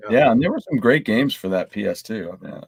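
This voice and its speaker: A man's voice: fairly low pitch, lively intonation, a little fast, gravelly, slight background noise. A man co-hosting a podcast, chatting casually and sometimes reading items out to the group. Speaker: podcast co-host.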